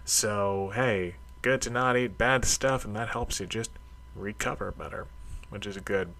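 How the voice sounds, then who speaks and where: A man's voice: lowish voice, unhurried, gravelly, fairly bright tone. A middle-aged man talking casually in a solo audio diary, reflective and rambling, with a self-deprecating streak. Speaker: karate practitioner keeping a training log.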